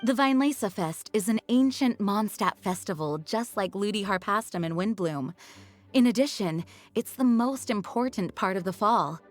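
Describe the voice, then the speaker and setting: Casting: woman playing poised game knight leader, mid-pitched, formal and earnest, soft music underneath. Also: lively intonation, moderate pace, natural voice. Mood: explaining. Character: composed, courteous, dutiful young woman knight leader. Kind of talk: video game character acting.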